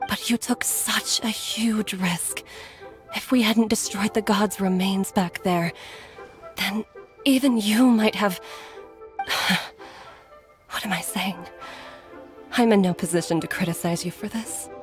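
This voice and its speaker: An actress voicing a gentle, regretful middle-aged woman doctor in a video game, speaking in a measured, earnest way with sighs. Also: medium pitch, lightly acted. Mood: troubled, regretful. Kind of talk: dramatic video game voice acting. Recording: background music under the voice.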